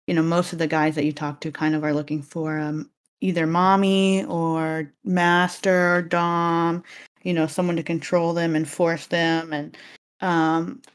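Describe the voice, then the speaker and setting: A woman hosts a relaxed interview, chatting in a giggly, open way. Her voice sits a little low for a woman, and her delivery is casual and loose. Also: a little slow, clean tone. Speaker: podcast host.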